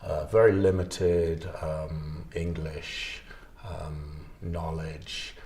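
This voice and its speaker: Deep-voiced, slow-talking male teacher. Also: flat delivery, darkish tone. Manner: measured, with hesitant "um"s. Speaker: English language teacher. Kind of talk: interview answers. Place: school classroom. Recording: roomy echo.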